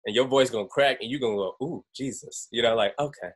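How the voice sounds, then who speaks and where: A man's voice: mid-range voice, quick pace. A young man hosting a group call, talking casually and acting out quoted voices with laughs. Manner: animated, acts out quotes, laughs. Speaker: host.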